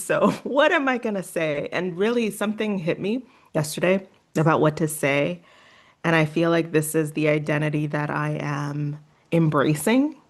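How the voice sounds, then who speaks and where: A woman from Haiti, a guest on a podcast, speaking in an earnest, reflective way and laughing easily. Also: on the low side. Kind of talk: reflective conversation.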